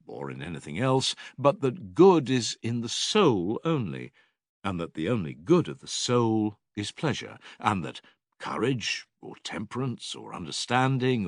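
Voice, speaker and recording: An actor playing an older philosopher in an audiobook dialogue, a patient, probing teacher with measured, rhetorical and emphatic delivery. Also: male voice, fairly low pitch, dry recording, studio quality, full character voice. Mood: earnest questioning.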